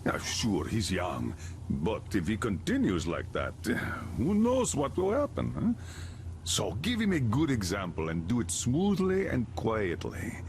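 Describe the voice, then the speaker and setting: An actor playing a stern military commander who gives fatherly, gruff orders before a mission. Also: lowish voice, huge pitch swings, a little slow, a little mellow, a little hiss or hum, full character voice. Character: stern older male military colonel. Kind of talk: video game cutscene acting.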